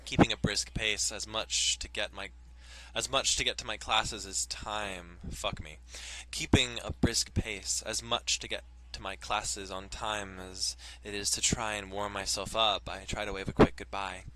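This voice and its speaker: Bright, forceful low-voiced man gaming. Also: steady tone, unhurried, a little hiss or hum, poor audio. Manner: joking, frequently swearing. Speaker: let's play host. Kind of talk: casual gaming commentary with read-aloud narration.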